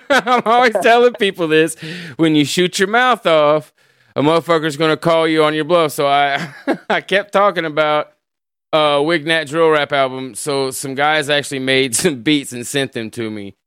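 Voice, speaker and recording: Guy hosting a stream, swears a lot, laughs constantly, smooth resonant voice, dry mic. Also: a little high, fairly bright tone, no room sound. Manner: profane, laughs often, drawls off at the end of sentences. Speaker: livestream show host reading donations. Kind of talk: casual chat.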